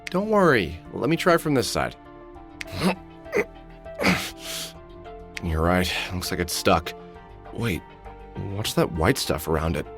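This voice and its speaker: A man's voice: sits low, sing-song, slow, long pauses, heavily acted. A man voicing a wry, protective middle-aged adventure game hero who often mutters expressively to himself. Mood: urgent, worried. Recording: background music under the voice.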